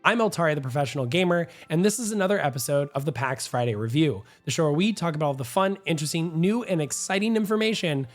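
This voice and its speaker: A young man hosts a gaming news show, his slightly high voice hoarse and nasal from a cold. He talks in an upbeat, confident, chatty way, and faint music plays under his voice. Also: animated, quick pace, hardly any pauses. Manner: upbeat, talkative, self-assured. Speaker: gaming channel host. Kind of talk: energetic gaming news commentary.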